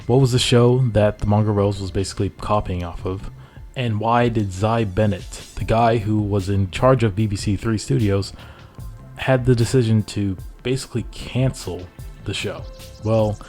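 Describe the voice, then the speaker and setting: A man's voice: lowish voice, darkish tone. A man giving informal, conversational commentary as a reviewer in a loosely scripted video essay. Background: background music.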